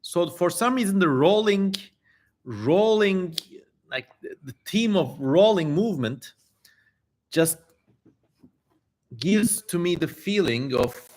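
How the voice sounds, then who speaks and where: A man's voice: high-pitched, lively intonation, slow pace, long pauses, a little mellow. A man hosting a livestream, chatting casually and informally with some swearing as he comments. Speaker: livestream host.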